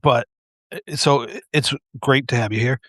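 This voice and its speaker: A man's voice: mid-range voice, steady tone, normal speed, a bit bright, no room sound, clean studio sound. A man hosting a casual live interview on a video call, rambling and self-deprecating with many fillers. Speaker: host.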